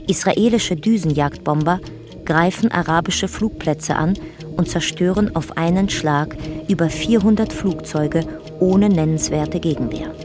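An actress as the narrator of a historical radio drama, reading a chronicle in a sober, measured documentary manner. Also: female voice, on the low side, music in the background, lightly acted.

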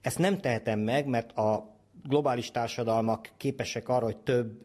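A man's voice: mid-range voice, steady tone, darkish tone. A man speaking as an academic expert, explaining in long, winding sentences with scholarly vocabulary. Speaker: ethologist interviewed as expert. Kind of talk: explanatory spoken science commentary.

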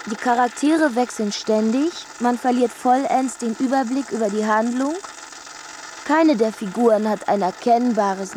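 A woman's voice: medium pitch, darkish tone, a little hiss or hum, close to an everyday voice. An actress playing a frantic, frustrated working woman who tells her story bluntly and urgently in a German radio drama. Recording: music behind the voice.

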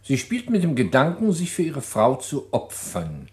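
An actor playing a skeptical prosecutor who questions a suspect in an old radio play, probing and ironic. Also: male voice, mid-range voice, huge pitch swings, normal speed, darkish tone. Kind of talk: 1950s radio drama acting.

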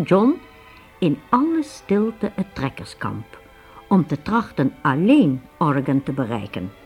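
A woman's voice: low voice, a little mellow. A woman narrating a radio drama in a dramatic storytelling style.

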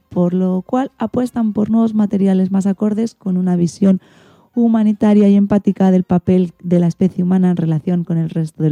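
Dark-voiced, gravelly woman radio host. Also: on the low side, dry recording, dull audio. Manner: chatty, colloquial, addresses listeners directly. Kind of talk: casual informal radio presenting.

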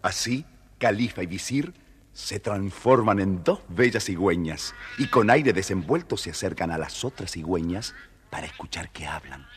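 A man's voice: low voice, lively intonation, moderate pace, slight background noise. A man narrating a scripted children's tale expressively, as the storyteller of a record.

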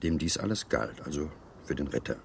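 An actor in a German radio play playing a gallant, teasing seducer who tells stories in a suave, theatrical way. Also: male voice, extremely low pitch, lively intonation, brisk, gravelly, slight background noise, full character voice. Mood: suave, dramatic storytelling. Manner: theatrical, suave, savouring storytelling.

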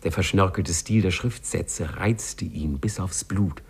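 A man narrating a 1950s radio play as a wry, genteel storyteller, measured and dryly ironic. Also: deep voice, breathy edge, heavily acted. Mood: dryly ominous. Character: wry, genteel male storyteller addressing the listener.

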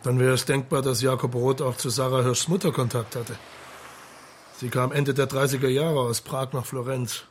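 An actor playing a thoughtful, patient police marshal who questions deliberately in a radio crime drama. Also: male voice, medium pitch, steady tone, slight background noise, lightly acted. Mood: probing.

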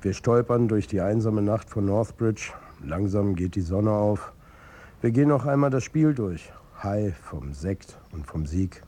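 A middle-aged football coach tells his story in a radio drama. His voice is husky and fairly low. He speaks candidly and colloquially, as if confiding in the listener, with a world-weary edge. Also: animated, unhurried, warm tone. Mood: reflective. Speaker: narrator and lead character. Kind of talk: intimate first-person radio drama narration.

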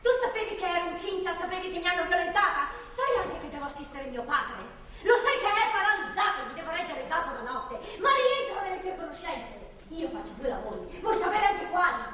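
A woman's voice: extremely high pitch, animated, a little fast, few pauses, sharp tone, heavily acted. An actress playing a defiant young captive woman in a stage drama, combative and foul-mouthed. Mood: angry, desperate. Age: young adult. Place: theatre stage. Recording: very echoey theatre, distant mic.

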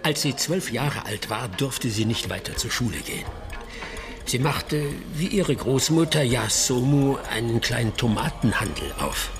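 An actor playing a genial older village storyteller in a radio drama, savoring his tale in an unhurried oral manner. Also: medium pitch, big pitch swings. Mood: absorbed storytelling. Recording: clear music behind the voice.

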